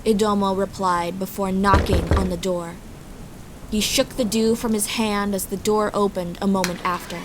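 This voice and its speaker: Woman narrating fantasy amid steady rain. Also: mid-range voice, moderate pace, background noise. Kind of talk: scripted fantasy story narration. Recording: steady rain and storm ambience behind.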